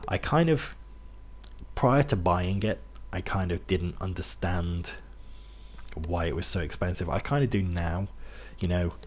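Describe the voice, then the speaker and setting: A young British man with a low, somewhat husky voice talks loosely to his viewers as he plays. His accent is a mixed British one, and he rambles, restarting sentences and filling gaps with ums. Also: unhurried. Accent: British, mixed, not Brummie. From England, near Birmingham. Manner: rambling, many restarts and fillers, chatty asides. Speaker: gaming streamer. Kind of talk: casual gameplay commentary to chat.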